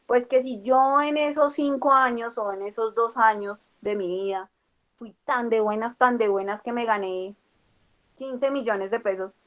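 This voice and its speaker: A young Colombian woman with a Colombian accent teaching a class, explaining step by step and checking in with her students. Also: mid-range voice. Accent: Colombian Spanish. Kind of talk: classroom lecture.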